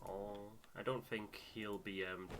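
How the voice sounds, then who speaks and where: A man's voice: lowish voice, flat delivery, unhurried, noisy background. A man hosting a gaming playthrough in casual chat. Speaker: playthrough host and co-reader.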